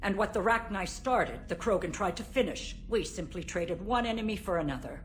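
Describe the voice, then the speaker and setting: A woman's voice: medium pitch, expressive, moderate pace, slight background noise, lightly acted. A woman playing a haughty, stubborn female alien political leader in a video game, imperious and cold. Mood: unyielding.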